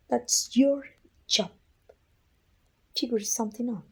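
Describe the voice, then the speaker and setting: A woman playing a proud, weary evil queen and sorceress in an ASMR roleplay, with a husky, breathy voice and an imperious, deliberate delivery. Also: medium pitch, mellow, poor audio. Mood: exasperated, commanding. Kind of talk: scripted ASMR roleplay acting.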